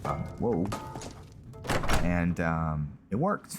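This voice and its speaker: A man's voice: sits low, animated, extremely slow. A man giving casual commentary on his roleplay playthrough, rambling, hesitant and often trailing off. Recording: game music behind the voice. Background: video game music.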